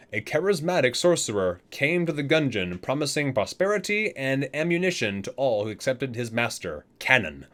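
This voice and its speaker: A man with a medium-pitched, very animated voice reads aloud like a video creator presenting a book. He leans into titles and names with theatrical weight, then slips into casual asides in his own conversational way.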